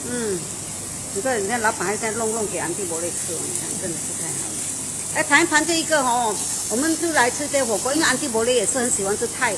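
A woman's voice: on the high side, expressive, fast talker. A middle-aged woman, hosting a casual restaurant review and chatting enthusiastically with the owner inside a restaurant. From Malaysia. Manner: chatty and enthusiastic, with frequent fillers and exclamations. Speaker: food show host. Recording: noisy restaurant background.

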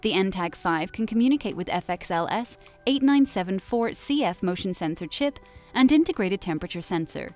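A woman giving scripted corporate product narration in an even, measured and clearly enunciated way. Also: mid-range voice. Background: soft background music.

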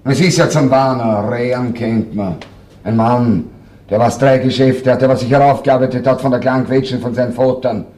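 A man's voice: medium pitch, fairly even intonation, normal speed, darkish tone, close to an everyday voice. Radio crime play: male actor as a self-pitying Viennese petty thief, mid-range voice, evasive, plaintive and indignant. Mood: aggrieved. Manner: plaintive, indignant protesting in colloquial dialect. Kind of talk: radio crime drama acting.

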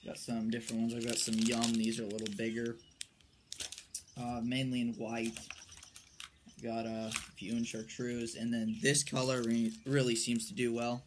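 A young man giving a casual, unscripted show-and-tell in a halting, low-key way, recorded on a porch at arm's length from the mic. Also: completely flat delivery. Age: young adult, late teens or early twenties. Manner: halting, low-key, trailing off while handling items. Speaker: amateur angler presenting his tackle. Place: covered wooden porch outdoors. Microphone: phone or camera at arm's length. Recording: noticeable outdoor background noise.

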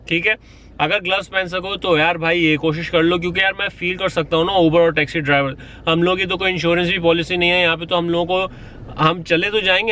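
A man in his thirties talks straight to a phone inside a parked car, giving earnest advice to fellow drivers. His voice is bright and sits a little high, and he pleads and repeats himself to make a point, slipping English phrases in as he goes. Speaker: vlogger and rideshare driver. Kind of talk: casual advice vlog. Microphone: phone or camera at arm's length.

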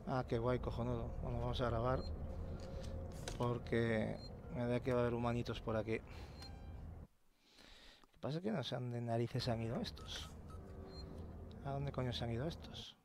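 A man talks casually over his gameplay, swearing freely. His mid-pitched voice has a gravelly edge. Noticeable noise runs under his voice-over. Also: steady tone, normal speed, long pauses. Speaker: gameplay commentator. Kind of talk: let's play commentary.

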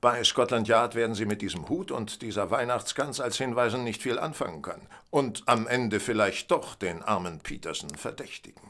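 An actor playing a brilliant, self-assured detective in a radio drama, lecturing didactically with theatrical precision and a husky voice. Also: male voice, lowish voice, animated, moderate pace, hardly any pauses, a bit bright. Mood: calm, assured. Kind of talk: radio drama acting in German.

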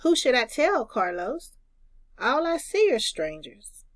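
A woman voicing an eager, naive, excitable little boy in a children's storybook. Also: high-pitched, slow pace, exaggerated voice. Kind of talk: children's storybook read-aloud.

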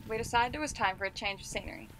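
A woman giving a calm, scripted voice-over for a family sailing vlog. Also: on the high side, racing, slight background noise, poor audio. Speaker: vlog narrator. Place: voice-over, not recorded on location. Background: loud music bed.

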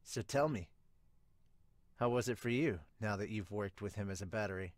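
Man playing a low-key, determined teenage catcher. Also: moderate pace, dry recording, natural voice. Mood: measured, serious. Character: calm, determined, dry teenage boy baseball catcher.

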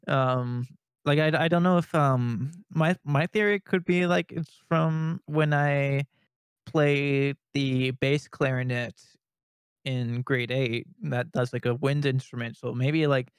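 A young man from Canada chatting as a podcast co-host, rambling with many restarts and nervous laughs, with a fairly high-sounding voice. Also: fairly even intonation, takes it slowly, smooth, no echo, clean studio sound. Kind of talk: casual podcast chat.

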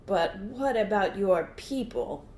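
A woman voicing a big, hungry, gullible male alligator with drawn-out menace in a folktale. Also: medium pitch, expressive, a little mellow, roomy sound. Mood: wary.

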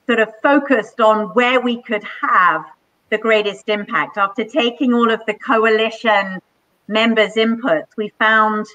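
An older woman hosting a live online panel from a home office, speaking in slow, measured, enthusiastic phrases over a video call. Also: a little high, pushed and bright. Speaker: panel host. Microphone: webcam on a video call. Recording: dull lo-fi video call audio.

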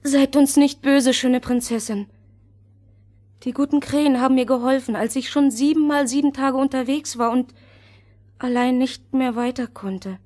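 A woman's voice: high-pitched, fairly even intonation, moderate pace, warm tone, lightly acted. An actress playing a brave, earnest little girl in a fairy-tale audio drama. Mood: earnest, sad.

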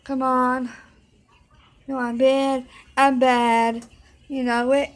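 A young woman singing songs loosely and loudly into a close webcam in an office room, shouting and chanting at times. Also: sits high, little pitch movement, slow. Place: indoor office room with desks and computers. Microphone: webcam at close range, with headphones on.

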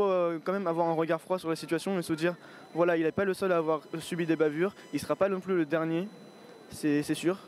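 A man's voice: fairly high pitch, a little mellow, slight background noise. Young man musing in a city square. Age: 20s. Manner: thoughtful, hesitant, many fillers and restarts. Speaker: street interviewee. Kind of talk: street interview, reflective opinion. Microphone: handheld mic.

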